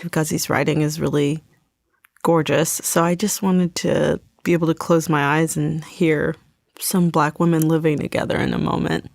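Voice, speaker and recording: A woman's voice: low voice, level tone, moderate pace, vocal fry, a little mellow, dry recording. A woman giving thoughtful, articulate explanations as a guest in an interview. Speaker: guest theater director.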